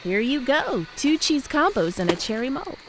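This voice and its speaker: A woman's voice: high voice, sing-song, normal speed, background noise. A woman playing a young diner server with polite customer service patter in an audio drama.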